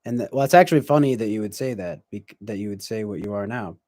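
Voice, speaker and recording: A man's voice: lowish voice, fast, darkish tone, no echo. A man in his twenties chatting casually on a stream, reflective and rambling, with many fillers and restarts. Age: 20s. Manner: reads viewer comments aloud. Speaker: livestream host.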